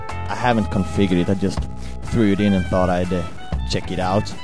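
A young Swedish man with a Swedish accent, hungover, rambling crude thoughts into a home mic in a hesitant, deadpan way. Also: low voice, normal speed. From Sweden. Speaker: amateur audio ranter. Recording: home recording with buzz and music.

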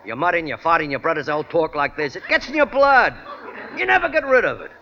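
An excitable Brooklyn mug who makes his living as a fake Frenchman. His high, sharp voice swings widely in a Brooklyn accent, in vintage radio comedy style. The old recording is dull. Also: quick pace, a little hiss or hum, heavily acted. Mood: streetwise griping. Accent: Brooklyn, with put-on French at times. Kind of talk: 1940s-50s radio comedy acting.

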